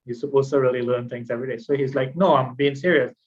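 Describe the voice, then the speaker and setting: Male presenter, conversational and explanatory, fairly even pitch, slightly muffled lo-fi recording. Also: quick pace. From Trinidad. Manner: explanatory, conversational, poses questions then answers them. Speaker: guest speaker, mental health presenter. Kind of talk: educational presentation with personal anecdotes.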